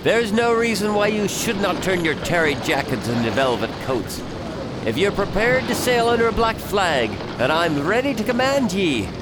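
Guy doing a pirate captain in a radio play, highish voice, big swings, noisy boat sounds behind. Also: very animated, moderate pace. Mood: rousing, resolute. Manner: declamatory, giving orders and speeches. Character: commanding pirate captain, bold and calculating. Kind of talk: radio drama acting.

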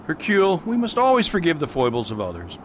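An actor playing a calm, playful priest detective in an amateur radio drama, patient and mild. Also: male voice, a little high, fast talker, very noisy. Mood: whimsical. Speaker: Father Brown.

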